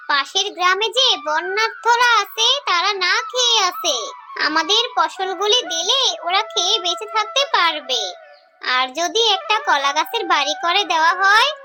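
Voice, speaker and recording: A woman voicing an anxious, kind-hearted fox mother in a children's cartoon, fretful and pleading. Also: very high voice. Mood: agreeable. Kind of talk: Bengali children's cartoon voice acting.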